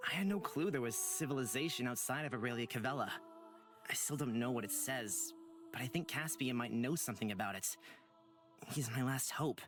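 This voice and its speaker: A man's voice: medium pitch, expressive, a little fast, close to an everyday voice. A man playing an earnest, uneasy young outcast in a visual novel game, speaking hesitantly. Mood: earnest, sombre. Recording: clear music behind the voice.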